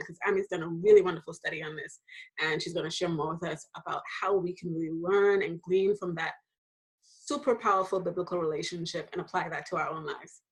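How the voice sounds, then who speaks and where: A woman hosting a casual faith conversation. She talks in a lively way, laughs often, and jumps into high voices when quoting people. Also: low-quality recording. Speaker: host.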